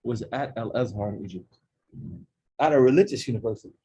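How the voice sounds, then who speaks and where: A man's voice: medium pitch, brisk, mellow, lo-fi sound, a little hiss or hum. A man in his forties from Oklahoma with an Oklahoma accent, leading an informal talk with students and asking them questions. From Oklahoma, USA. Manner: chatty, jokey, asks the audience questions. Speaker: imam leading a student session. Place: campus center room with a student group.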